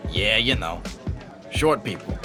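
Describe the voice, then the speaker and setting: A man's voice: lowish voice, animated, slow, sharp tone, exaggerated voice. A man acting a foul-mouthed, teasing police colleague in casual audio drama banter, drawling and crude. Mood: amused, teasing. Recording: music behind the voice.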